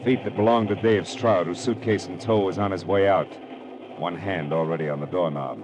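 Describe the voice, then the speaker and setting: Actor as hard-boiled, low-key sardonic radio detective. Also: male voice, normal speed, background noise, lightly acted. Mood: tense, dramatic. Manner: sardonic, clipped, tough wisecracking. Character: hard-boiled wisecracking male private detective, narrating his own case. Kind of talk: 1940s-50s radio crime drama acting, first-person narration. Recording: old radio recording with music behind.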